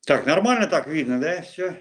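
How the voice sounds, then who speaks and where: A middle-aged man, a monk, giving informal spiritual guidance in a halting way, with many fillers and restarts. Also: a little high, expressive.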